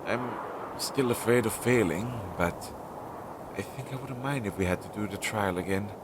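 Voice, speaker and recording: A man playing an anxious, devoted crow familiar in an intimate audio roleplay, speaking softly and hesitantly, with pauses. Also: lightly acted. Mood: hesitant, gentle. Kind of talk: intimate second-person audio roleplay acting. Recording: low-quality recording with clear noise.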